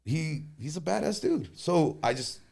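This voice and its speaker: Animated man in chat. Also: fairly high pitch, vocal fry. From Texas. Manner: animated storyteller, quick bursts, joking. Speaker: conjunto band leader and singer, guest. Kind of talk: casual interview chat.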